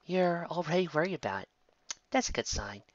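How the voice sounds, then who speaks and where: A man's voice: fairly high pitch, sing-song, brisk, dry recording, dull audio, voice pushed up. A man voicing a caring, bookish young female pony who worries about her friends in a fan fiction reading. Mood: gently concerned.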